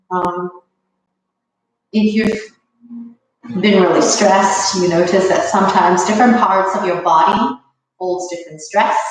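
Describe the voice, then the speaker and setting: A woman leading a live online yoga class, her voice a little rough from allergies, giving calm, guiding cues. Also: medium pitch, distant mic, slight background noise. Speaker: yoga instructor. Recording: echoey room, away from the mic.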